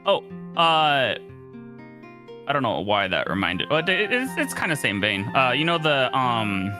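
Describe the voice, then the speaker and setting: A man chats casually as co-host of a game stream. He is joking and halting, with a lot of movement in his pitch. Game music runs behind him. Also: wildly swooping pitch. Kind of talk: casual chat.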